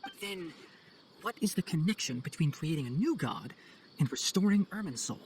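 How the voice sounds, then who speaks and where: Man voicing a lively, earnest young scholar. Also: on the high side, slight background noise, natural voice, low-quality recording. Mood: concerned. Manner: articulate, thoughtful, measured. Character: young male forest scholar, earnest, calm and pragmatic. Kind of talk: video game cutscene voice acting.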